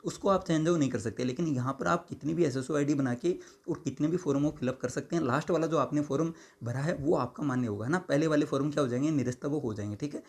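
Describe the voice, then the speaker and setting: A hoarse man, explaining exam registration while answering viewer comments and tagging his sentences with "है ना" and "ठीक है". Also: a little high, quick pace, few pauses, darkish tone. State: hoarse, sore throat. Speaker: exam-prep YouTuber.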